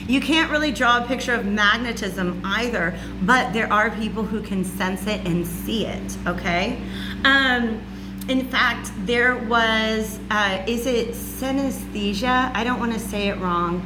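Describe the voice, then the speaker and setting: A loud woman with a raspy voice gives a chatty spiritual lesson at home and often checks in with "okay?". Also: fairly high pitch, measured pace, music in the background, rough recording. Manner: chatty, says "okay?" and "all right?" often. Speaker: spiritual channel host. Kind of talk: casual teaching monologue. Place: warm room at home with a fan running. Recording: home recording with clear hum.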